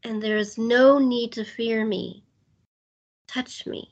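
Actress as soothing otherworldly female guide, fantasy radio play: highish, unhurried, tender, slightly lo-fi. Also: fairly even intonation. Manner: gentle, deliberate, comforting. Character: soothing otherworldly woman guiding souls onward. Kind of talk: fantasy audio drama acting. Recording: dull lo-fi sound.

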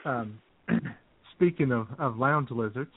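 A man's voice: medium pitch, takes it slowly, husky. A man co-hosting an internet radio show in casual, jokey banter. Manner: jokey, chuckles often. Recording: tinny, phone-like internet radio sound.

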